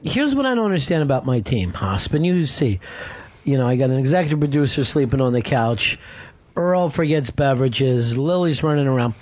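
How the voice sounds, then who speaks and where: A man's voice: mid-range voice, animated, a little fast, darkish tone. An American man hosting a talk radio show, teasing his crew in loose, rambling banter. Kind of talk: casual radio chat.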